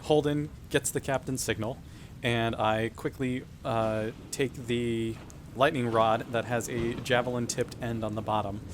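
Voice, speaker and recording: A man's voice: medium pitch, fairly even intonation, a little slow, slight background noise. A man playing a tabletop role-playing game, chatting casually and describing moves. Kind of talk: casual banter in a tabletop game actual play.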